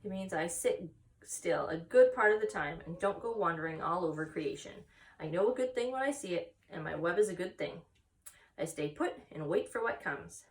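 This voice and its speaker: A woman's voice: on the low side, expressive, moderate pace, distant mic. A woman voicing a wise, frank and kindly female spider from a storybook, explaining things in a matter-of-fact, instructive way. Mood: opinionated.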